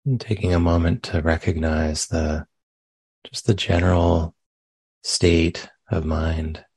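A man leading a guided meditation as a teacher, speaking gently and unhurriedly with long pauses. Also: low voice, steady tone, husky, dry recording.

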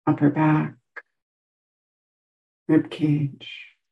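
A woman teaching a guided meditation, giving gentle instructions with long pauses between short phrases. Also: low voice, monotone, vocal fry, narrow, tinny audio.

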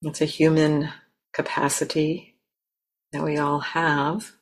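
A woman leading a guided meditation as a teacher, speaking gently and unhurriedly with long pauses. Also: low-pitched, level tone.

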